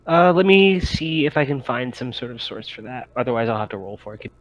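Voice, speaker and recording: A man's voice: mid-range voice, lively intonation, brisk, dry recording. A man running a tabletop roleplaying game as game master, explaining and improvising details as he goes.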